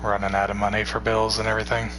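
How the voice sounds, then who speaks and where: Young male streamer, 21, tired, low even delivery, loose and rambling, noisy recording with a hum. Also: very fast. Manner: rambling, relaxed, swears casually. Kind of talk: casual chat with stream viewers.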